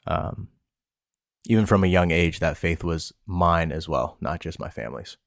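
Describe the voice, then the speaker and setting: A man's voice: deep voice, normal speed, creaky. A man with an American accent answering questions as a podcast co-host, chatting casually with playful, dry replies. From eastern United States. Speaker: podcast co-host being interviewed. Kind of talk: casual chat.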